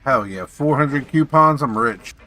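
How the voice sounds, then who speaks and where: Bright-voiced male game streamer. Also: mid-range voice, lively intonation, unhurried. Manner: talks to self, playful. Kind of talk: casual live gameplay commentary. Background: game music.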